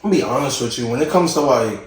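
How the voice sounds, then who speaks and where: A man's voice: medium pitch, expressive, quick pace, very echoey, a crowd in the background, low-quality recording. A young man hosting a casual podcast, talking in a slangy, laid-back way and hyping up his guest. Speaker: podcast host. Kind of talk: casual chat.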